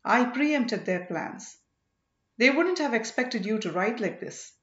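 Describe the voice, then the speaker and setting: A devoted, plain-spoken servant man from a storybook, played by a woman with a fairly high voice. He is respectful yet emphatic and reassuring. The recording is roomy and a touch dull. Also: animated, takes it slowly, fairly bright tone. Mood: explaining.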